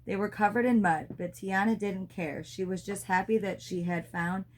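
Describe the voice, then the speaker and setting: A woman's voice: mid-range voice, fairly even intonation, normal speed, a little hiss or hum. A woman reading a children's storybook aloud expressively, as a mother does with her child.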